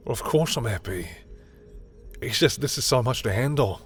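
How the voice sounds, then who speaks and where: A man playing a proud, guarded yet tender villain in love, in an intimate romantic roleplay with dramatic, halting, confessional delivery. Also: mid-range voice, lively intonation, soft background music, lightly acted. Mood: overwhelmed, happy. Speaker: roleplay audio performer. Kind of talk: intimate second-person romantic roleplay acting.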